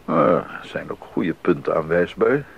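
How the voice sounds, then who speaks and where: A man acting a sober, devout composer and husband in a Dutch radio drama, speaking in a measured, reflective way. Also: sits low, big pitch swings, creaky, breathy edge, exaggerated voice. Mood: earnest, resolute. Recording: dull, noisy 1980s radio recording.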